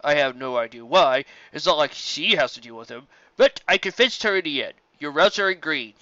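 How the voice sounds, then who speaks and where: A chatty, self-satisfied pony postmaster from a storybook, voiced by a reader. The speech is bright and emphatic, with lively pitch movement. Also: brisk, dry recording, dull audio. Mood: proud.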